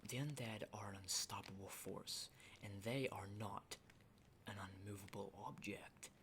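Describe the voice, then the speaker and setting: Over clearly audible noise, a jittery, hardened male survivor of a zombie apocalypse played by a man speaks in a breathy, slightly low whisper. He is halting and insistent, repeating himself like someone out of practice with people, in an ASMR roleplay style. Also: fairly bright tone. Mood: scornful, insistent. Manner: halting, repetitive, insistent, often checking with "okay?". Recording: clearly noisy close whisper recording.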